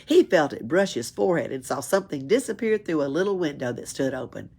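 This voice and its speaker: A woman with a husky voice reads a children's story aloud in a dramatic, emphatic way. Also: sits low, big pitch swings, quick pace, darkish tone. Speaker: library story reader. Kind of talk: expressive storybook read-aloud.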